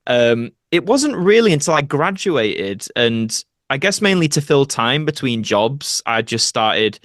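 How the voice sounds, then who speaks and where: Young adult male podcast guest, chatty and self-deprecating, mid-pitched, very little room sound. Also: sing-song, measured pace, a bit bright, no room sound, studio quality. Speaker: podcast guest, actor and video creator. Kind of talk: casual interview chat.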